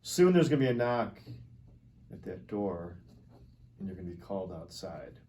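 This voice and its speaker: A man's voice: low-pitched, big pitch swings, normal speed, warm tone. A man at a table read playing a cynical arms dealer who is casually, coolly defiant under interrogation. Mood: calm certainty. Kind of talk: acted screenplay table read. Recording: noticeable background noise.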